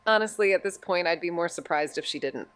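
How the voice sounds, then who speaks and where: A woman's voice: on the low side, a little fast, clear, resonant tone, dry recording, natural voice. A woman acting a wry, profane young amateur detective in a noir audio drama, moving between casual banter and narration. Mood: intrigued, wry.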